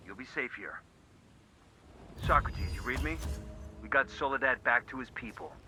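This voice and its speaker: An actor playing a steady, terse special forces soldier. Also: male voice, mid-range voice, moderate pace, over music, natural voice. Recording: game audio with loud background noise.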